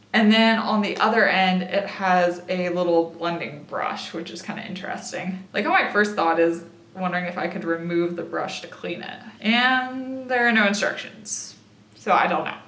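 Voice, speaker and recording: A young woman vlogger in a chatty makeup haul. Her voice is slightly raspy and tired after a late night, and she thinks aloud while she applies makeup. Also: on the low side, normal speed, fairly bright tone, reverberant. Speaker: beauty vlogger. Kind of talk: casual makeup haul and try-on.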